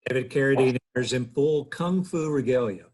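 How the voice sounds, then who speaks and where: A man reading the stage directions aloud at a table read in a plain, steady way. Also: mid-range voice, brisk.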